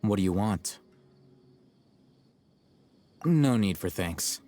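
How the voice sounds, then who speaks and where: An actor playing an aloof, blunt young scholar in a video game, cool, articulate and condescending. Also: male voice, sits low, expressive, drawn-out words, warm tone, heavily acted. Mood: cool, curt. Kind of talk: English video game voice acting. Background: faint game background music.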